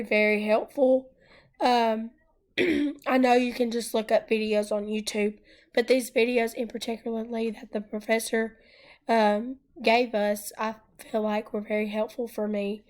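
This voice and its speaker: A young woman with a hoarse, strained voice, hesitantly and apologetically giving an informal walkthrough as a student presenter. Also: on the high side. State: hoarse, losing voice. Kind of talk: informal narrated walkthrough of a class project.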